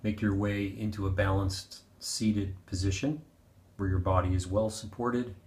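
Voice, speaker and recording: A man with a fairly low voice leads a guided meditation in a clearly echoing room. He gives gentle, unhurried instructions and leaves pauses between short phrases. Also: flat delivery. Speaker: meditation teacher. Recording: roomy, echoing sound.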